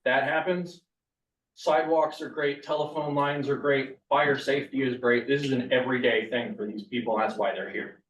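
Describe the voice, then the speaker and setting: A man's voice: medium pitch, fairly even intonation, moderate pace, fairly bright tone. A man speaking bluntly during public comment, his frustration building as he makes his points. He is heard from a distance in an echoey meeting room, with a dull, muffled sound. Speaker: public commenter, local resident.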